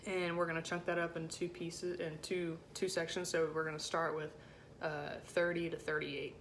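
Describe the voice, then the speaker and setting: Woman, low-set voice, choir section leader, informal tutorial, casual encouraging delivery, slightly noisy recording. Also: level tone, moderate pace. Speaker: choir section leader teaching alto parts. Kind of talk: informal music rehearsal tutorial.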